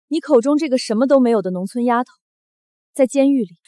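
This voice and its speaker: Quick, high-voiced defiant daughter played by a woman. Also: warm tone, no room sound, clean studio sound, lightly acted. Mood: cool, triumphant. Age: young adult. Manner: pointed, challenging. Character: wronged, defiant eighteen-year-old daughter, proud and resolute. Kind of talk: short-drama acting.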